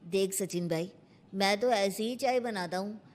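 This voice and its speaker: A woman's voice: mid-range voice, unhurried, lightly acted. A woman voicing a grumpy, blunt village son-in-law with a curt, defensive manner. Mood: defensive, annoyed.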